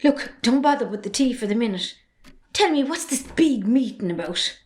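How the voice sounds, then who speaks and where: A woman's voice: on the high side, lively intonation, normal speed, darkish tone, natural voice. A young woman with an Irish accent playing a forthright, defiant daughter in an amateur drama. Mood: frank, annoyed. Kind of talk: amateur stage drama acting.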